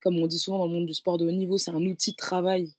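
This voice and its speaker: Low-voiced young woman in dry sound. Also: little pitch movement, quick pace, a little mellow, no room sound. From Paris, France. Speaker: guest, professional handball player. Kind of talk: casual reflective conversation.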